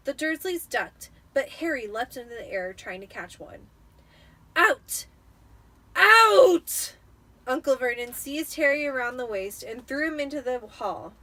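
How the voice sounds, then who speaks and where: A woman's voice: on the high side, fairly bright tone, poor audio. A tipsy woman giving an amateur dramatic reading of a storybook. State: tipsy after drinking wine.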